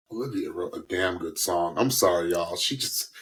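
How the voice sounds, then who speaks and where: A man co-hosting a music podcast, giving casual, opinionated commentary on singers in a halting way. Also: sits low, a bit bright. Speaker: podcast co-host, singing critic.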